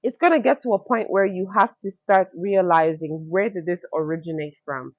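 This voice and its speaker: A woman's voice: lowish voice, normal speed, no room sound. A woman from Nigeria with a Nigerian accent, a guest on a podcast interview, talking earnestly and reflectively and repeating her key points. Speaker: fashion entrepreneur guest. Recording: dull lo-fi sound.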